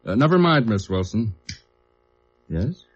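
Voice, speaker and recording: An actor playing an energetic, cooperative young American company president in a 1950s radio drama. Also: male voice, fairly low pitch, measured pace. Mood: cordial, cooperative. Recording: muffled old radio recording.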